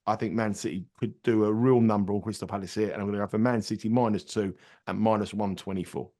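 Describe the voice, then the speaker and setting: A man's voice: fairly low pitch, darkish tone. A man with a head cold, giving football betting tips in rambling podcast chat. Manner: rambling, repeats words and restarts sentences. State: congested with a cold. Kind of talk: casual betting analysis chat.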